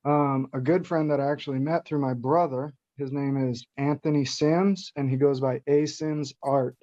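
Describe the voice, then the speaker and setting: A man's voice: mid-range voice, steady tone, moderate pace, dark tone. Upbeat man host introducing guests. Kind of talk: introducing guests on a group call. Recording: dull lo-fi online call audio.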